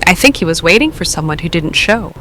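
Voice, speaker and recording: An actress playing a nervous woman caller who talks in a hesitant, halting, self-deprecating way. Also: lowish voice, expressive, bright, forceful tone, slight background noise. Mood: uneasy.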